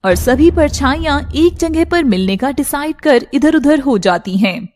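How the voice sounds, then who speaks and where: High-voiced woman narrating a cartoon story. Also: animated, measured pace, a little mellow.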